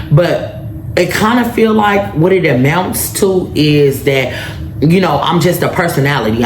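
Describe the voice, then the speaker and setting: A woman in her late thirties talks through a solo podcast in a loose, storytelling way. She keeps asking her listeners "right?" as she goes. Her voice rings in a roomy space with a faint hiss behind it. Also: low voice. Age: 30s. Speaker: podcast host. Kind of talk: casual solo podcast monologue. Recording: echoey room with faint background noise.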